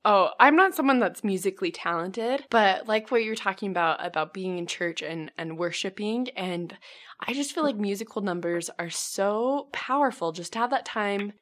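A woman's voice: mid-range voice, a bit bright. A woman hosting a podcast, interviewing in an upbeat, bubbly, friendly way. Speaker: podcast host. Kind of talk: casual interview.

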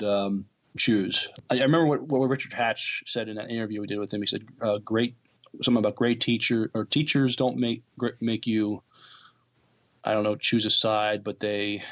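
A man co-hosting a podcast, talking and reading news in a hesitant way, often stumbling over words. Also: on the low side. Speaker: podcast co-host.

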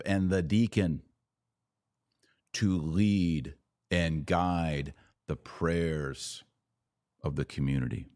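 A man with a fairly low voice lecturing on a podcast, pressing his points through steady repetition. The sound is close and dry, with no room around it. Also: no echo. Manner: emphatic, lecturing, repeats points for stress. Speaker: podcast host. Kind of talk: podcast commentary on church liturgy.

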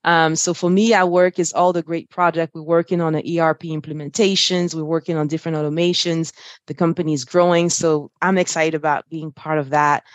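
A woman's voice: low-pitched, level tone, a little fast, dry recording. A woman from Ivory Coast, a native French speaker, talks as an interview guest. She is upbeat and chatty and laughs easily. The recording is dry and close. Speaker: interview guest, finance executive. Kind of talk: casual interview talk.

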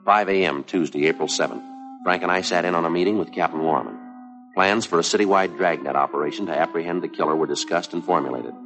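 Stoic American detective, low-pitched, clipped deadpan narration, 1950s TV soundtrack, music behind. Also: male voice, fast, rough recording. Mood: flat, businesslike. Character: stoic, businesslike male police detective sergeant narrating cases. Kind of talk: 1950s police drama narration, terse and factual.

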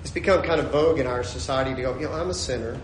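A man preaching a sermon, his voice swinging from shouted peaks to a hushed storytelling tone. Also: medium pitch, rapid-fire, reverberant, a little hiss or hum. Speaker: pastor.

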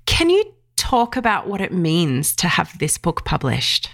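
Low, gravelly woman hosting a podcast. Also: huge pitch swings, fairly bright tone, no echo, clean studio sound. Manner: measured, reads a scripted introduction. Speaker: podcast host. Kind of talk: podcast interview questions.

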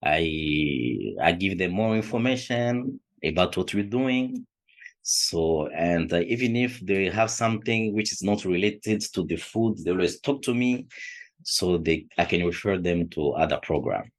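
Middle-aged Congolese man, very deep slow voice, halting interview answers, frequent fillers. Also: animated. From Democratic Republic of the Congo. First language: not English. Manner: hesitant, many fillers and restarts. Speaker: food shelf supervisor.

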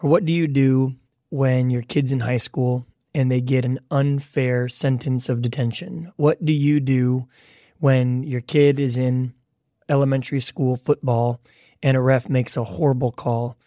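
A man's voice: medium pitch, warm tone, no echo, clean studio sound. A man who works as a pastor, talking conversationally with rhetorical questions and stories. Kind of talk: podcast teaching talk.

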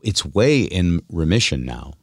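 A low-pitched man hosts casual radio talk with lively swings in pitch. He banters in a wry, deadpan way, and the sound is dry and close-miked. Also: a little slow, vocal fry, no echo. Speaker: radio show host.

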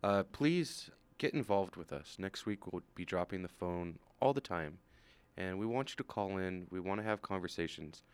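Low-voiced man hosting a radio show, playful and teasing. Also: normal speed, few pauses, clean tone, dry recording. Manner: teasing, laughs often. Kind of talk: casual chat.

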